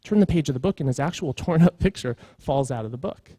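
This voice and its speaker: A man's voice: mid-range voice, lively intonation, fast, dark tone, breathy edge, no room sound. A man presenting at a conference in an informal, earnest storytelling way, with emphatic points. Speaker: conference speaker, creative executive.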